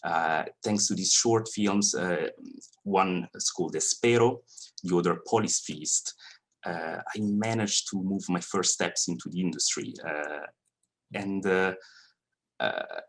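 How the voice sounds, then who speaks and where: A man's voice: low-pitched, measured pace. A man in his thirties with a Swiss Italian accent, an animator giving a friendly, hesitant talk to students. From Italian-speaking Switzerland. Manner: hesitant, many fillers and restarts, friendly. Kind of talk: presentation to students. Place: college lecture room.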